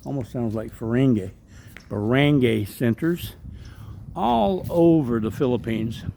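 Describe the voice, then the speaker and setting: Rambling man with a gravelly voice. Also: mid-range voice, expressive, unhurried, mellow, slight background noise. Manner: rambling, chatty, with frequent ums and asides. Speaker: vlogger and street evangelist. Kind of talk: casual walking vlog narration. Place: outdoor park by a waterway.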